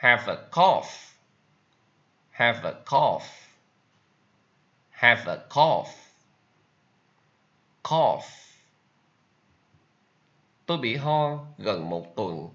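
A man with a Vietnamese accent, a native Vietnamese speaker, teaching English vocabulary and repeating each example sentence slowly. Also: medium pitch, big pitch swings, pushed and bright, highs cut off. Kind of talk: slow vocabulary lesson with repetition.

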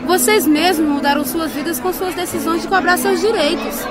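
A woman's voice: extremely high pitch, normal speed. A woman with a Brazilian accent playing a modest, encouraging nurse and bride in a radio drama. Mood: modest, kind. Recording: loud music and crowd bustle behind.

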